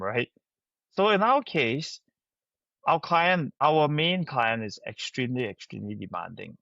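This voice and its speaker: A man's voice: mid-range voice, a bit bright, no room sound, muffled recording. A middle-aged man with a Singaporean accent, answering as a podcast guest in a conversational, anecdotal way. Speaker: company founder, podcast guest.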